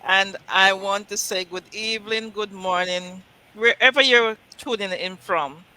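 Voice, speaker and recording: A middle-aged woman guest on a livestream chat, nervous and halting, laughing and restarting as she speaks. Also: medium pitch, sharp tone, poor audio. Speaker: guest, business owner.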